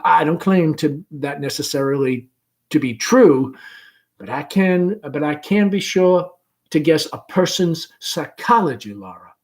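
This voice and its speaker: A man reading the part of a self-assured, breezy young man in a play, in brisk, declarative phrases. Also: on the high side, animated. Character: confident, breezy young man who likes analyzing people. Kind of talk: amateur play read-aloud.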